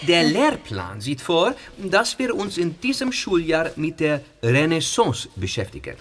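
An actor playing a friendly, slightly nervous young history teacher from northern Italy, speaking. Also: male voice, fairly high pitch, wildly swooping pitch, unhurried, exaggerated voice. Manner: polite, warm, explaining. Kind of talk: audio drama acting.

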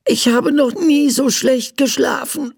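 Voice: a little slow, creaky, no echo, clean studio sound. Narrator doing a bossy little boy prince. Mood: whiny. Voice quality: high, childlike. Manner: demanding, then whiny. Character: young boy prince, playful and stubborn.

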